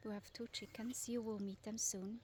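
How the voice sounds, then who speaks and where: A woman's voice: fairly high pitch, fairly even intonation, measured pace, a little hiss or hum. Chatty Swiss-accented woman outdoors. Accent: Swiss German. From Switzerland. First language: Swiss German. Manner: chatty, informal, with frequent fillers and restarts. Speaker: vlogger, lifestyle property owner. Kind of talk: casual garden tour vlog. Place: outdoors in a garden.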